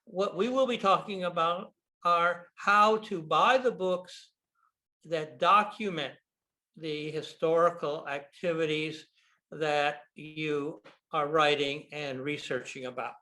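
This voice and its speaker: An older American man giving a slide presentation over a video call, speaking deliberately with frequent pauses and fillers. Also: fairly high pitch, steady tone, pushed and bright. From United States. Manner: deliberate, with frequent ums and uhs. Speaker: guest speaker, bookshop owner.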